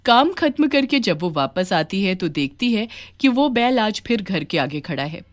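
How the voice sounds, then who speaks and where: A woman's voice: medium pitch, very animated, normal speed. A woman storyteller reading scripted narration for a children's moral tale.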